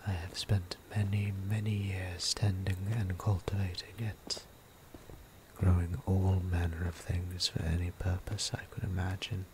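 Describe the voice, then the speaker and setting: Man acting a low, whispery vampire priest. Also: little pitch movement, background noise. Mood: gentle, tender. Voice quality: soft, breathy near-whisper. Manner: slow, deliberate, formal, soothing. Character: gentle, courteous male vampire priest, caretaker. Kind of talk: intimate ASMR roleplay acting.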